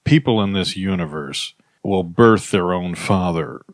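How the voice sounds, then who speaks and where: A man improvising comedy, making pompous, mock-profound pronouncements in a deadpan way. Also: low-pitched, a little slow, no echo.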